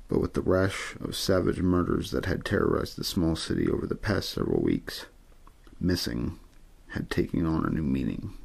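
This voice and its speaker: Male ASMR story reader: very creaky low voice, understated bedtime narration, no theatrics. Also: darkish tone. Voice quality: gravelly, husky. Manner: understated, untheatrical delivery. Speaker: amateur audiobook reader.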